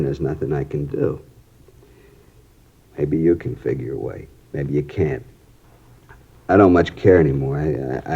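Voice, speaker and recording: An actor playing a distraught middle-aged father who talks in a halting, desperate, strained way, in a 1950s radio drama. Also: a bass voice, mellow, slightly rough, heavily acted. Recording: dull lo-fi 1950s radio broadcast.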